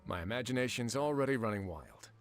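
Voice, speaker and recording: A wry warden from a game, voiced by a man with a dry, teasing manner. His pitch moves lively, and game music sits clearly behind him. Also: fast talker, lightly acted. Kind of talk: game dialogue acting.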